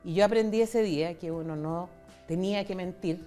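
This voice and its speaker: Interview guest: Chilean woman in her 60s, slightly low voice, candid personal storyteller. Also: moderate pace, mellow, soft background music. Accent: Chilean Spanish. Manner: candid, conversational, retells dialogue. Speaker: interview guest, foundation founder.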